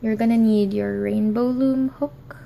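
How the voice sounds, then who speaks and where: A woman's voice: fairly high pitch, steady tone, measured pace, gravelly, mellow, slight background noise. A young woman hosting a crafting tutorial, talking hesitantly with many ums and apologies, recorded in an office. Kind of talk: casual how-to tutorial.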